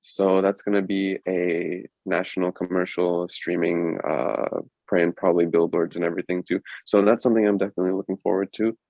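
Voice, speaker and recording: A man with a fairly low, quite flat voice chats as an actor guesting on a podcast. His delivery is informal and halting, and the recording is very dry. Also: gravelly, no echo, muffled recording. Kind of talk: casual chat.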